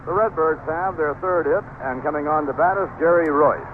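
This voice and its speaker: A man's voice: a little high, moderate pace. Tinny old-radio American baseball announcer, man. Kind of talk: live radio sports commentary. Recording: noisy, band-limited old radio broadcast.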